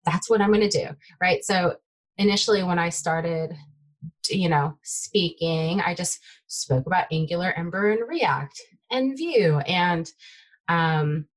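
A young adult woman from the Bay Area, whose first language is Taiwanese, chatting excitedly as a guest developer in an interview. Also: lowish voice, unhurried, rough recording. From United States (Bay Area). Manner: excitable, filler-heavy, trailing off. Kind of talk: casual tech interview chat.

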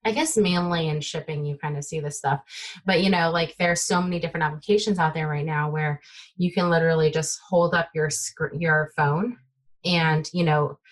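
Woman, young adult, casual, excitable, rambling, lowish voice. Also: moderate pace. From United States (Bay Area). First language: Taiwanese. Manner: excitable, filler-heavy, trailing off. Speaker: guest developer. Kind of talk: casual tech interview chat.

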